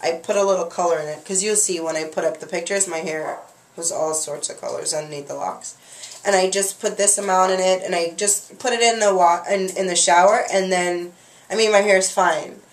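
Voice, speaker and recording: A woman's voice: lowish voice, steady tone, fairly quick. A young woman vlogging about her hair at home, chatting casually with lots of fillers, a little nasal from a cold, recorded on a webcam. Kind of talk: casual chat to camera. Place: small bathroom at home. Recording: echoey small room.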